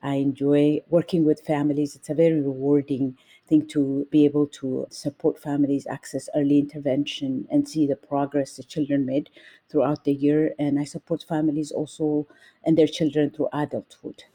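Deep, dark-voiced woman from Ethiopia. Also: flat delivery, normal speed, slightly rough. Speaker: nurse and family advocate. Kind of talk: interview guest.

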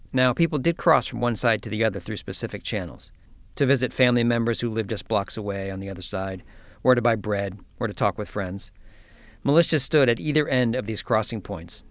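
A man hosting a science podcast, telling a story in a measured, scripted way before interviewing his guest. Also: fairly low pitch, no echo. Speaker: podcast host. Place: office.

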